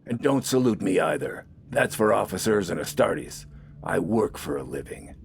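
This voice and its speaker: A man's voice: low-pitched, big pitch swings, moderate pace, gravelly, slight background noise, voice pushed up, full character voice. A man voicing a blunt, war-weary young soldier with a growling, curt delivery in an audio story. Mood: gruff, weary.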